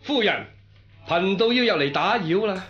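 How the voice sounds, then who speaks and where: An actor playing an imperious Taoist exorcist priest in a radio drama, with a solemn, incantatory and commanding delivery. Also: male voice, sits high, animated, normal speed, a bit bright, muffled recording, soft background music. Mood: stern, portentous. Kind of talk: Cantonese radio drama acting.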